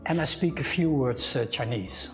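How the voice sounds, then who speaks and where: A man's voice: medium pitch, expressive, moderate pace, soft background music. An older man from the Netherlands with a Dutch accent, a guest on a cooking show who talks in a thoughtful, casual way with many restarts. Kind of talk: casual conversation.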